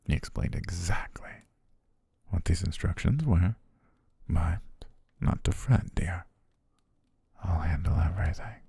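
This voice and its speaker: A young man playing a devoted, quietly possessive butler, speaking softly and doting in an ASMR roleplay on a close mic. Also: sits low, measured pace, vocal fry, pauses often, slightly rough, dry recording, heavily acted. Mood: soothing whisper. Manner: soft, coaxing, doting, lulling. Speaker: ASMR voice actor.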